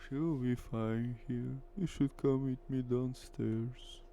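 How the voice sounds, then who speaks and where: A man voicing a flattering female club henchwoman in a visual novel, coaxing and deliberate. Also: mid-range voice, gravelly, a little hiss or hum.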